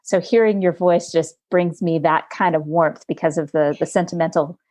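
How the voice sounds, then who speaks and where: A woman with a lowish, mellow voice hosts an interview podcast. She talks in an easy conversational way and searches for her words with small fillers. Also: fairly even intonation, normal speed. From United States. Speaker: podcast host. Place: home studio in Montana.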